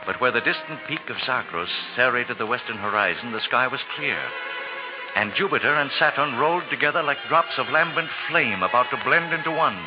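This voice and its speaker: A man's voice: mid-range voice, lively intonation, fairly quick. A man narrating a 1940s radio drama in a grand, poetic storytelling manner. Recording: dull vintage radio recording, loud music.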